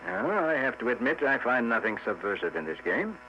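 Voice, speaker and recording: An actor playing a polite, mildly officious immigration inspector with formal manner in a 1950s radio drama. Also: male voice, medium pitch, sing-song, sharp tone. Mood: courteous. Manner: formal, measured. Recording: muffled 1950s radio recording with hum.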